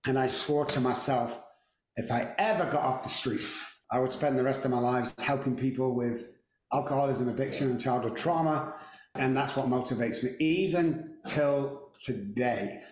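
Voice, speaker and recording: Man in his 60s, Mancunian accent, chatty and wry, roomy echo, mid-pitched voice. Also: normal speed. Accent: Mancunian English. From England. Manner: chatty, joking, self-deprecating. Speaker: podcast guest. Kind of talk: casual storytelling interview.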